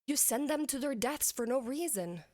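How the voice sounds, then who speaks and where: A woman's voice: high voice, lively intonation, normal speed, darkish tone, dry recording, clean studio sound. A woman playing a dutiful military commander in a fantasy audio story, speaking formally and directly to her king. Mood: blunt, disapproving. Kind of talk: audio fiction acting.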